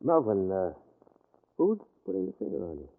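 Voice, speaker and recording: An actor with an American accent playing a wry, weary, streetwise husband and amateur sleuth in 1940s radio drama style. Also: male voice, sits low, huge pitch swings, moderate pace, phone-quality sound, full character voice. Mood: questioning, wry. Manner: wry, slangy, exasperated. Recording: thin, band-limited 1940s radio recording.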